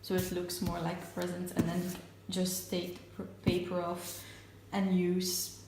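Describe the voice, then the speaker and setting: A young woman in her twenties with a slightly raspy just-woken voice and hiccups, chatting casually while showing gifts, recorded on a handheld camera. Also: lowish voice, monotone, a little slow, echoey room, background noise. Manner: chatty, self-narrating while wrapping gifts. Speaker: vlogger. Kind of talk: casual vlog chat. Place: home kitchen and living room.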